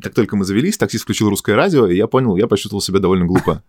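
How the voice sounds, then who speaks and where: A man from Russia with a low voice hosts a relaxed podcast conversation. He talks quickly through long anecdotes, padding them with fillers. The sound is dry and close. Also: slightly rough, dry recording. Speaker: podcast host. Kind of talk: casual conversational podcast chat.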